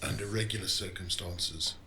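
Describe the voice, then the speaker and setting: A man playing a weary, menacing gang boss in a noir audio drama, measured, commanding and wryly reasonable. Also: low voice, steady tone, sharp tone, slight background noise. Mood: amused menace. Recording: roomy echo with music behind.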